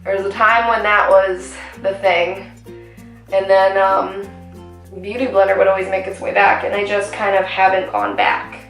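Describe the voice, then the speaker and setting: A young woman with a slightly raspy voice talks her way through a makeup routine, rambling and filling the pauses with ums. She sits a little back from the camera mic in a small home room, so her voice carries a roomy echo, with faint music underneath. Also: medium pitch, a bit bright, recorded from a distance. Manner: chatty, rambling with many ums. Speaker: beauty vlogger. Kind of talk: casual makeup tutorial.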